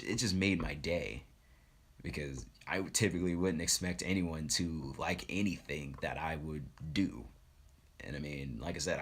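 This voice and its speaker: A young man who does voice acting, telling a personal story in a relaxed, reflective vlog with lots of fillers. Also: a bass voice, breathy edge. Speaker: voice actor and streamer.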